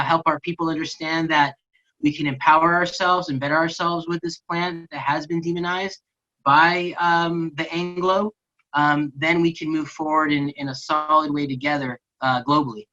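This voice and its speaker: A panel guest speaking earnestly and deliberately, with pauses, as an advocate on a video call. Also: medium pitch, steady tone, sharp tone. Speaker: interview guest. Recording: dull, lo-fi video call audio.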